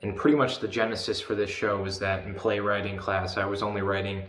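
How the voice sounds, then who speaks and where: A man's voice: lowish voice, level tone, brisk, a bit bright. A young man, a student playwright, casually introducing his own work. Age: young adult. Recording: echoey room.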